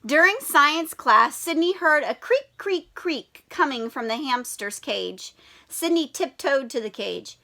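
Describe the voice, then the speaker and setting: A woman teacher reading a children's picture book aloud, telling the story in an animated, expressive way and asking the children questions. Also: high-pitched, a little slow.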